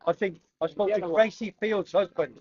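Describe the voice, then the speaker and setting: A man's voice: sits high, fairly even intonation, moderate pace, a little mellow. A man bantering and teasing in a bar, away from the microphone. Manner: teasing, joking. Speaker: sports personality. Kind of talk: casual banter. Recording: off-mic, dull sound.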